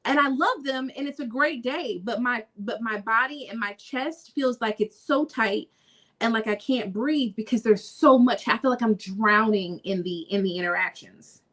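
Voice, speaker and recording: A chatty young woman co-hosting a podcast, her voice sitting a little high. She talks loosely with plenty of "like" and "you know", often breaking into laughter. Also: moderate pace, a bit bright. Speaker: podcast co-host. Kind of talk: casual podcast chat.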